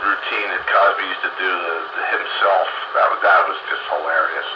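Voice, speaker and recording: A man's voice: sits low, steady tone, a little fast, lo-fi sound. Jovial man in his sixties, noisy echoey radio. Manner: jovial, laughs readily. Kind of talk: casual group chat over radio.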